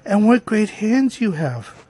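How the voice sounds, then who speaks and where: Man putting on an innocent little girl, high-pitched. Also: lively intonation, moderate pace, darkish tone, slight background noise, low-quality recording. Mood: amazed. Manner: earnest, polite. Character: innocent, polite, trusting little girl in a fairy tale.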